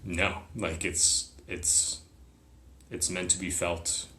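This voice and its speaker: A man's voice: low voice, flat delivery, slow pace, bright, forceful tone, slight background noise. A man whose first language is Chinese, talking reflectively as a podcast guest. He often checks in with "right?". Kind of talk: reflective interview talk. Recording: roomy echo.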